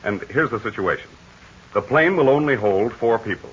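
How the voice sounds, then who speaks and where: An actor playing a confident, commanding heroic aviator in a 1940s radio serial. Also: male voice, mid-range voice, moderate pace, husky. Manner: steady, commanding, reassuring. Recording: dull lo-fi 1940 radio recording.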